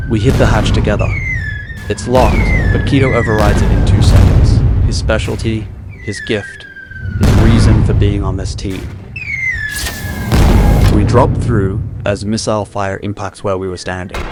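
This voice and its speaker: A man reading a dramatized first-person audiobook in a gritty, grim, intense storytelling manner. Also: fairly low pitch. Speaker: audiobook narrator. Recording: loud music behind the voice.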